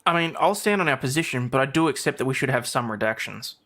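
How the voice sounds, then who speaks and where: A man's voice: medium pitch, lively intonation, fast talker, a bit bright. A man on a panel reading an attorney's lines from a courtroom transcript aloud.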